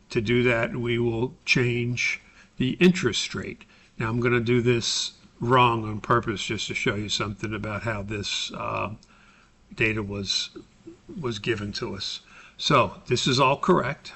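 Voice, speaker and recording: An American man with a bright, forceful voice at a middling pitch talks a class through a software task. He sounds like an instructor, informal and methodical. He moves step by step, often pausing partway through a sentence. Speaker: course instructor recording a tutorial for his class. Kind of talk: informal screen-recorded software tutorial, explanatory.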